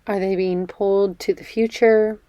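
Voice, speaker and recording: A woman leading a guided meditation in a gentle, measured, soothing way, leaving pauses between phrases. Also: mid-range voice, steady tone, dry recording, highs cut off. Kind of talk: guided meditation, body scan.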